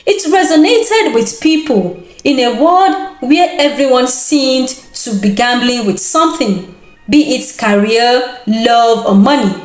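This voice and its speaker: A woman storyteller delivers a scripted tale with expressive care. Her voice sits fairly high and she takes her time. The room adds noticeable echo around her. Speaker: storyteller, host. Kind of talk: scripted dramatic folktale narration.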